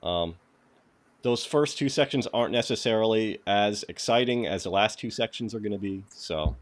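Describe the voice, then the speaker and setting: A man's voice: lowish voice, animated, normal speed. A man with a New England accent hosting a casual livestreamed tech tutorial, reading quiz questions aloud and greeting viewers by name. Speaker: host of a cybersecurity lab walkthrough.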